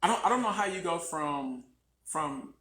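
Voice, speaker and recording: A loud man co-hosts a casual live stream with plenty of energy, often repeating himself and restarting his sentences. His voice is bright and sits a little high. The room around him is echoey. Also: lively intonation, moderate pace. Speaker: podcast co-host. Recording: roomy echo.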